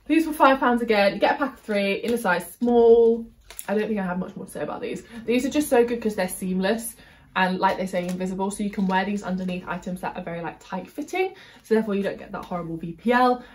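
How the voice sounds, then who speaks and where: Chatty young British woman. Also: mid-range voice. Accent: British English. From United Kingdom. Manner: bubbly, chatty, lots of "like" and "kind of". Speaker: beauty and fashion vlogger. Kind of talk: chatty try-on haul.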